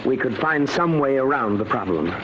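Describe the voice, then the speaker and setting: A man's voice: medium pitch, lively intonation, moderate pace, lightly acted. A man acting an earnest, deferential young test subject in an old radio drama. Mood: earnest, puzzled. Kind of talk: 1940s-50s American radio drama acting. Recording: muffled, very noisy old radio recording.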